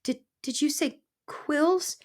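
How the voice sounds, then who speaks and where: A woman's voice: fairly high pitch, normal speed, a little mellow, pitched above the performer's own voice, natural voice. Storybook young mouse, female, played by a woman: small, raised voice, anxious, stammering and pleading. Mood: fearful, stammering.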